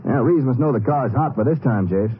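An actor playing a friendly, folksy highway patrol lawman in a radio western, with an easygoing way of talking. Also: expressive, fairly quick, husky. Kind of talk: old-time radio western acting. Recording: muffled, hissy old radio broadcast.

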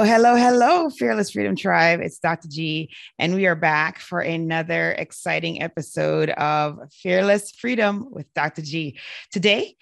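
A woman's voice: on the low side, gravelly, a bit bright, no room sound, studio quality. A woman hosting a podcast in an upbeat, chatty manner. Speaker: podcast host, physician.

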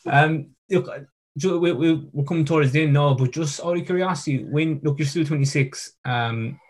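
Man asking halting questions. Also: on the high side, slightly rough. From Ireland. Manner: hesitant, stumbling questions. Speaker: interviewer. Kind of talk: casual interview.

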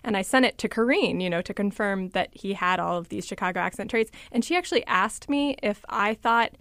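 A woman from a suburb of Washington, D.C., working as a reporter, telling stories in an animated, lively way. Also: medium pitch, a little fast, no echo, clean studio sound. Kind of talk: casual podcast chat.

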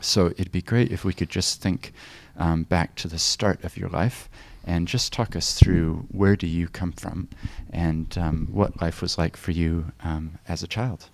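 A man hosting a podcast, reading a scripted introduction and then asking gentle, hesitant questions. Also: low voice, fairly even intonation, measured pace, creaky, hardly any pauses, dry recording. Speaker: podcast host.

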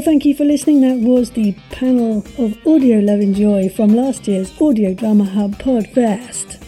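A woman hosting a podcast, reading an enthusiastic promotional announcement in a husky voice. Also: fairly high pitch, mellow. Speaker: podcast host. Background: music.

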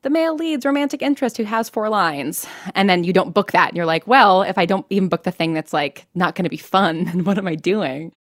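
A woman's voice: fairly low pitch, lively intonation, brisk, hardly any pauses, dry recording, studio quality. A young American woman telling her own story casually in an interview, chatty and self-deprecating. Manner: chatty, self-deprecating, laughs while talking. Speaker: podcast creator and actor interviewed.

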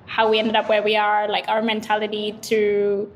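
A woman's voice: medium pitch, completely flat delivery, moderate pace, a little hiss or hum, rough recording. A young woman from Sri Lanka, a startup founder, talking casually and reflectively in an interview with many "like" fillers. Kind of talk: interview conversation.

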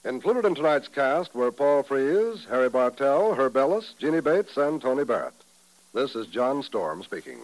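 A man's voice: mid-range voice, big pitch swings, moderate pace, slight background noise, low-quality recording. An American man announcing on 1950s network radio, reading scripted promos and credits in a polished, upbeat way. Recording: vintage 1950s radio broadcast.

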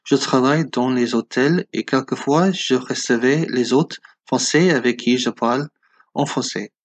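A man's voice: mid-range voice, a little slow. American man, twenties, speaking as a learner. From United States, Los Angeles. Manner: non-native phrasing with learner's errors. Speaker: podcast listener sending a voice message. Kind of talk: prepared personal message in learner's French.

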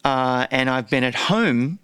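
A man's voice: medium pitch, animated, takes it slowly, a bit bright, no room sound. A man co-hosting a casual chat, talking with gushing enthusiasm. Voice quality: says he has a croaky voice. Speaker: podcast co-host.

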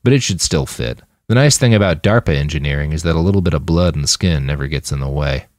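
A man's voice: very deep voice, fast, darkish tone, dry recording, clean studio sound. A man voicing a reluctant, indignant male veteran soldier in an audiobook, with a blunt, challenging manner. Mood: casual. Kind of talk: audiobook character voice.

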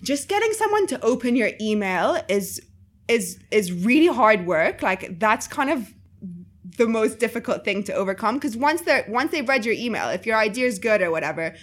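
A woman's voice: a little high, sing-song, moderate pace. A woman from Egypt with a slight Egyptian-influenced accent in English, chatting casually as an interview guest, full of fillers and easy laughs. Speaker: guest, writer.

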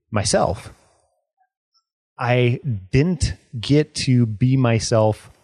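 A man's voice: mid-range voice, a little mellow, dry recording, clean studio sound. A man hosting a podcast, sharing personal experiences in a searching, hesitant way. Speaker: podcast host. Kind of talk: casual chat.

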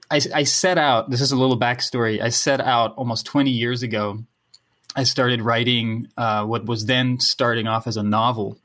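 A man whose English carries his talks as a podcast guest. His voice sits at an ordinary middle pitch, and he muses about ideas in long abstract sentences, often restarting his phrases. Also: a bit bright. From Netherlands. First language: Dutch. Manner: musing, abstract, many restarts. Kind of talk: casual podcast conversation.

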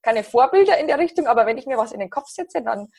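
A woman in her thirties with a Bavarian accent, chatting as a podcast guest in a relaxed, upbeat way. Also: a little high, fast, vocal fry. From Bavaria, near Passau. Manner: chatty, many fillers, upbeat. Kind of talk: casual interview chat.

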